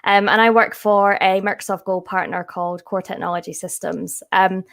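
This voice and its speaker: Female, Scottish accent, mid-pitched voice, informal and chatty interview guest. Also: fairly even intonation, normal speed, gravelly, a bit bright. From Scotland. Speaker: podcast guest, tech solutions specialist. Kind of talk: casual interview chat.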